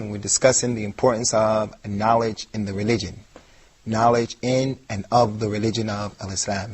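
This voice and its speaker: Husky-voiced man giving an emphatic lecture. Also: medium pitch. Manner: emphatic, repeats key points for stress. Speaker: Islamic lecturer. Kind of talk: televised religious lecture.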